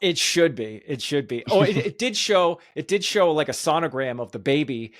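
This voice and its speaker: A man's voice: on the high side, lively intonation, normal speed, no room sound, clean studio sound. A man hosting a podcast, chatting casually in an emphatic, enthusiastic way. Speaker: podcast host.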